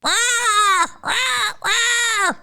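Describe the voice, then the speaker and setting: A woman's voice: very high-pitched, very slow, creaky, pushed and bright, full character voice. A woman voicing a scheming, pompous male eagle professor who crows at his class. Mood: crowing. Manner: pompous, crowing.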